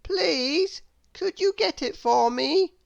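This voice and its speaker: Woman putting on a high, whiny worrier man. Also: animated, slow, vocal fry, dull audio, heavily acted. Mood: worried, pleading. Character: anxious worrier man pleading for help.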